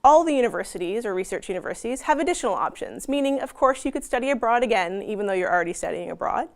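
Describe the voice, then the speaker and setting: A woman's voice: a little high, animated, very fast. A woman from Canada with a Canadian accent, giving an informational presentation in a friendly, explanatory way with frequent ums. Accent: Canadian English. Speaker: university outreach presenter.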